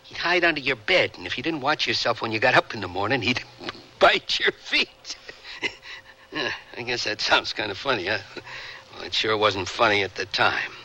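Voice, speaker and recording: An actor in a radio drama playing a bitter, haunted, volatile working-class Vietnam veteran with rough colloquial, defensive speech. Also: male voice, mid-range voice, sing-song, normal speed, sharp tone, a little hiss or hum, exaggerated voice, low-quality recording. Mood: sardonic, agitated.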